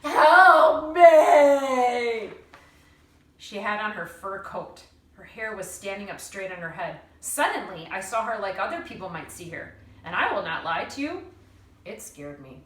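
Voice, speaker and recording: A woman teacher reading a children's story aloud with expressive, dramatic narration and deliberate phrasing. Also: mid-range voice. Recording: roomy, distant mic, faint noise.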